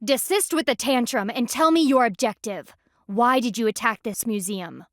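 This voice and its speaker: A woman's voice: a little high, normal speed, no echo, clean studio sound, lightly acted. An actress voicing a stern, haughty female panda palace enforcer in a video game, formal, commanding and disdainful.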